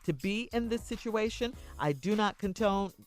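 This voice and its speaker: A woman's voice: mid-range voice, animated, normal speed. An American woman co-hosting a morning radio show, moving between banter and segment intros. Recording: music playing behind the voice.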